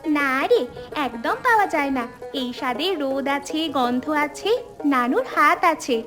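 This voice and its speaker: A woman voicing a cheeky, eager little granddaughter in an animated family drama. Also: high-pitched, slow, music in the background.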